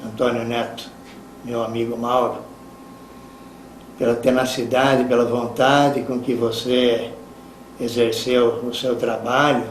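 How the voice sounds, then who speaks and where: Brazilian male, mid pitch, monotone testimonial, halting, recorded off-mic in an echoey room. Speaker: interviewee giving a tribute. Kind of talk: spoken testimonial. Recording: roomy, distant mic, faint noise.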